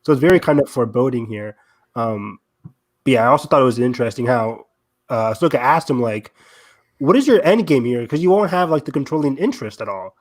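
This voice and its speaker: A man hosts a relaxed fan podcast with an enthusiastic, chatty delivery. His voice sits at an ordinary male pitch, and he talks in a conversational, agreeable way. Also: fairly quick. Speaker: podcast host. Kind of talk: casual fan discussion.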